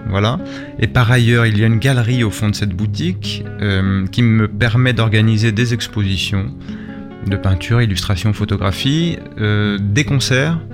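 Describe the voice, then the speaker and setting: A middle-aged man being interviewed in a radio studio, talking conversationally and often repeating words as he searches for his phrasing. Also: lowish voice. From Spain and Belgium, lived in France. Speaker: interview guest, shop and gallery owner, artist. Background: guitar music playing behind the voice.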